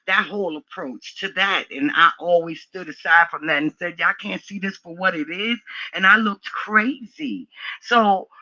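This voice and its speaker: A middle-aged or older woman with a strong, low-set voice talks emphatically and forthrightly, repeating herself to drive points home. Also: sharp tone, muffled recording. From New York, United States. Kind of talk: impassioned conversational opinion talk.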